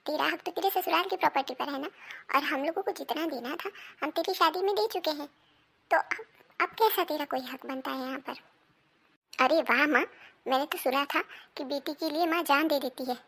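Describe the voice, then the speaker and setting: A woman acts out a dramatic woman of a squabbling Indian household in an animated moral story. Her voice sits very high and has a gravelly creak. She speaks emphatically, as in a family melodrama. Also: normal speed. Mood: firm, scolding. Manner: emphatic, dramatic, scolding and pleading delivery. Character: women of a quarrelling Indian family: daughter, mother, daughter-in-law.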